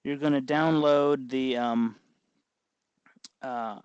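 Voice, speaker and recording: A man hosting a hobby tutorial, explaining each step in an informal, folksy, unhurried way. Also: medium pitch, steady tone, clean tone, no echo, highs cut off. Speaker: host of a hobby electronics tutorial. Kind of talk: informal hands-on tutorial narration.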